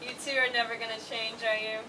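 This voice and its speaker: A woman's voice: on the high side, little pitch movement, fast, close to an everyday voice. A woman playing an emotional, guilt-ridden eldest daughter in a staged play reading. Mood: accusing, questioning. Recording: distant mic, noisy room.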